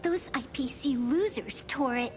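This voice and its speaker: A woman's voice: sits high, a little slow, darkish tone, faint music behind. A woman voicing a stubborn, scrappy little girl in a video game, talking in a blunt, casual way.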